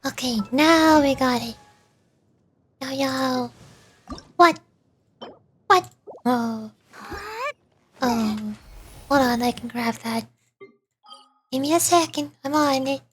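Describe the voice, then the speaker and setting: A woman's voice: sits high, slow pace, pauses often. A woman giving casual, chatty livestream commentary, talking to herself as she plays a video game. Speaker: streamer, virtual YouTuber. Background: game music.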